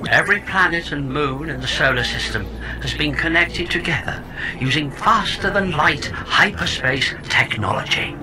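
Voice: mid-range voice, lively intonation, normal speed, background noise, lightly acted. An actor voicing a sardonic, talkative companion who lives in someone's head, theatrical and mock-grand, in an audio comedy drama. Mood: gleefully grandiose.

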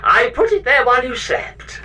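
A woman's voice: high voice, sing-song, moderate pace, far from the mic, background noise. A woman playing a chatty, scatterbrained, possessive spaceship computer in a comedy sci-fi audio drama, with breezy theatrical delivery. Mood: breezy, evasive.

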